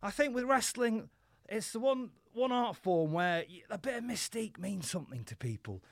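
A man from Yorkshire talks as a podcast guest, staying in a comic wrestling persona with deadpan, self-mocking asides. His voice sits high and swings widely in pitch. The sound is close and dry. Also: moderate pace, vocal fry, dry recording. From Yorkshire, England. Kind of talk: comic in-character chat.

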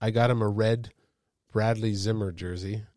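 A man's voice: on the low side, normal speed, no room sound. A middle-aged man hosting a casual sports chat, rambling as he tells stories. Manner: animated, rambling storyteller. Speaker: podcast host.

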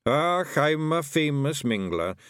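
A man's voice: fairly high pitch, sing-song, slow pace, a bit bright, no room sound, clean studio sound, pitched above the performer's own voice, heavily acted. Man putting on a rowdy Scottish fairy. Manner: rough, rowdy, mock-polite.

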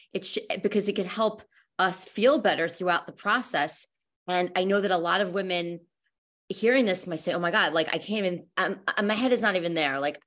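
A woman's voice: fairly low pitch, fairly bright tone. A woman hosting a conversational interview, speaking casually with frequent fillers. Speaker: host.

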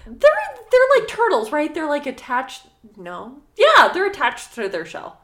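A woman's voice: sits high, unhurried. A woman co-hosting a podcast, reacting to a story with casual, giggly, joking banter. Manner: animated, giggly, jokey.

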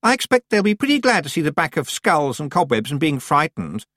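Man putting on a high, haughty teenage witch girl. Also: big pitch swings, fairly quick, fairly bright tone, dry recording, studio quality. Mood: smug, haughty. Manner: haughty, emphatic, condescending. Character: vain, snooty teenage girl witch, pushy and self-important.

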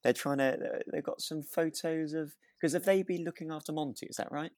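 A man's voice: on the high side, steady tone, a little fast, a little mellow, dry recording, studio quality. A man in casual podcast chat as co-host, agreeable and hesitant in his phrasing. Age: over 25, adult. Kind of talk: casual chat reviewing a radio drama.

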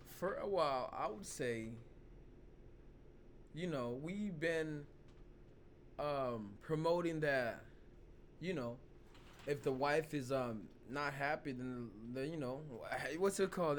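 A man hosting his own vlog, hoarse from losing his voice, rambling loosely in casual talk full of "you know" and "like". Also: fairly high pitch, slight background noise. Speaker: vlog host. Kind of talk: casual opinion talk to camera.

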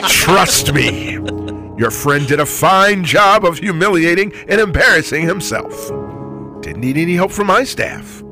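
A man's voice: fairly high pitch, lively intonation, a little slow. A man on a morning radio crew, bantering and reading a review reply in a dramatic style. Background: music.

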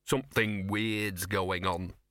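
A man's voice: low-pitched, steady tone, slow pace, sharp tone, no room sound, clean studio sound, heavily acted. A man voicing a scruffy talking dog, a knowing, chummy know-it-all with colloquial speech, in a storybook reading. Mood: conspiratorial.

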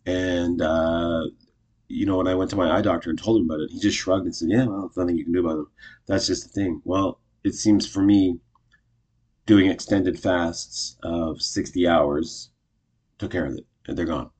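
Low husky guy in his 50s, rambling upbeat vlog chat, keeps restarting sentences. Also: fairly even intonation, moderate pace, darkish tone. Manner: rambling, self-correcting, upbeat. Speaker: vlogger. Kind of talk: casual talk to camera.

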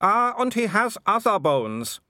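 Brutal taunting male werewolf, high and forceful, a man doing the voice. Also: sing-song, a little slow, no echo, studio quality, heavily acted. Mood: menacing. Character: gleeful brutish male werewolf fighter.

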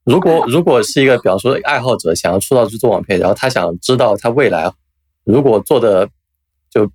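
Guy host asking stuff casually, talks fast, bit raspy, dry close audio. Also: medium pitch, lively intonation, a little mellow, no room sound. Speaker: podcast host. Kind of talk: casual chat.

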